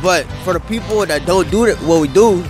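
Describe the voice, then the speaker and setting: A man's voice: high voice, fairly quick. A young man giving a casual outdoor interview on a grassy field, talking loosely with lots of slang, into a handheld mic. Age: young adult. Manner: loose, rambling, slangy, lots of "bro" and "dude". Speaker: interviewee, wheelie rider. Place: open grass field outdoors by a city skyline. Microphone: handheld mic held by interviewer. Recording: music playing underneath. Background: background music.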